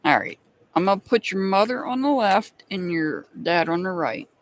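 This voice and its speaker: A man with a noticeably high voice and a slight Southern accent talks casually to a live stream audience as he hosts tarot readings. He chats loosely, laughs easily and greets viewers one by one by name. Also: expressive, normal speed. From United States. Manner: chatty, laughs often, answers viewers by name.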